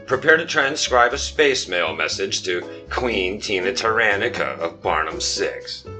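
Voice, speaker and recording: A man's voice: fairly low pitch, expressive, unhurried, pushed and bright, faint music behind, full character voice. A man playing a self-important, dim starship captain, theatrical and blustering, in a comic sci-fi radio serial.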